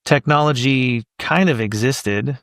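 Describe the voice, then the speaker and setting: A man in his thirties chats casually as a podcast guest, circling his thoughts with fillers and restarts. His voice sits at an ordinary pitch with a gravelly edge, recorded dry and close. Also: a little slow, a bit bright, no room sound. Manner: rambling, thinks aloud with many fillers. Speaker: voice actor guest.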